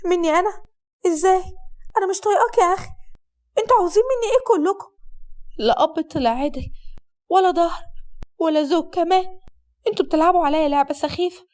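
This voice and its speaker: A woman's voice: very high voice, racing, darkish tone, pitched above the performer's own voice. A woman voicing a distraught young bride, pleading and agitated.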